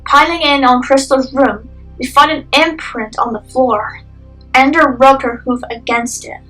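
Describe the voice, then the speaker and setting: A woman's voice: sits high, fairly even intonation, a little slow. A young adult woman reading scripted dramatic narration for a story, recorded echoey and off the microphone. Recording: distant echoey home microphone. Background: soft music.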